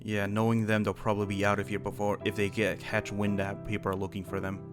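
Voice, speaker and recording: A man acts a wary guild wizard who is fiercely protective of his own. His voice is on the low side, blunt and grudging. The fantasy roleplay has faint music behind it. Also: monotone, quick pace, lightly acted. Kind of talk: improvised fantasy roleplay acting.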